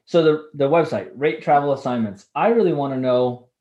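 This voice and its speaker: A man co-hosting a podcast, asking questions over a video call in a relaxed, low-key way. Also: normal speed. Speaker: podcast co-host. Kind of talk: casual video-call interview. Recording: dull lo-fi call audio.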